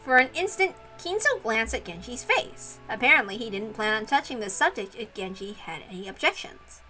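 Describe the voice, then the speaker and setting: A woman reads a visual novel aloud in a high, lively voice and drops into casual asides. Soft music plays behind the bright delivery. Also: moderate pace, poor audio.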